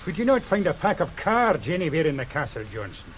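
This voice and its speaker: A man's voice: a little high, big pitch swings, brisk, slight background noise. An actor playing a weary, grumbling senior policeman with a dry manner, in radio drama style.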